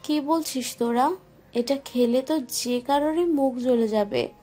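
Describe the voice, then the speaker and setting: A woman's voice: sits high, measured pace, warm tone, soft background music. A woman playing a concerned young woman in an audio family drama.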